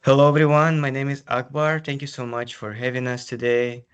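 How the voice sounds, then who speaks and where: A man's voice: medium pitch, flat delivery, a little fast, clean tone. A man from Kazakhstan who works as an international student advisor, talking in a friendly, easygoing way on a video call. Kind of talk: casual panel talk.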